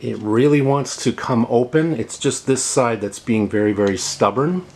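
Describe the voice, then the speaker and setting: A man's voice: mid-range voice, moderate pace, darkish tone, a little hiss or hum. A middle-aged man giving casual hands-on tutorial narration, explaining plainly and repeating words for emphasis. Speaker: host of a how-to tech repair video.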